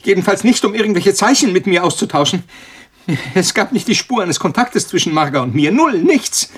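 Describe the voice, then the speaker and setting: A man's voice: high voice, lively intonation, fairly quick, slightly rough. An actor in a German radio play playing a cornered male scientist, agitated, sarcastic and defiant. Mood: frantic, pleading.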